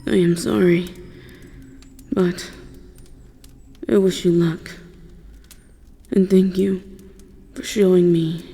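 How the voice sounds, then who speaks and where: Remorseful dragon played by a voice actor, husky and dark, solemn halting delivery, audio drama, cavernous reverb. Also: mid-range voice, little pitch movement. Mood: resigned, sorrowful. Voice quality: breathy, husky.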